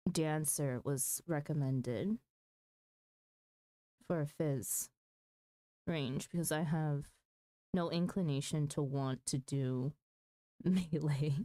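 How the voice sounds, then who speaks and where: A woman's voice: low-pitched, slow, long pauses, dark tone, no room sound, studio quality. A woman chatting casually on a gaming livestream, thinking aloud in a hesitant way. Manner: hesitant, thinking aloud, mulling over choices. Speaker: game livestreamer.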